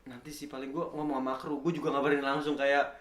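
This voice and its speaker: A man with a Jakarta Indonesian accent hosting a casual, jokey interview in slangy, expressive speech. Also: mid-range voice, quick pace. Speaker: host. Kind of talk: casual joking interview chat.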